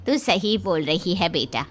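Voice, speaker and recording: Woman putting on a lower-voiced kindly older mother-in-law. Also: heavily acted. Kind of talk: animated cartoon story dialogue.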